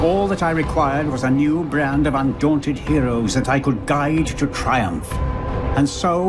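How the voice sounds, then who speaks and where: A man playing a brooding, calculating inquisitor who narrates a video game cutscene in a grave, measured, ominous way. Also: a little high, lightly acted. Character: brooding male inquisitor, patient, calculating chronicler of war. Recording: loud soundtrack under voice.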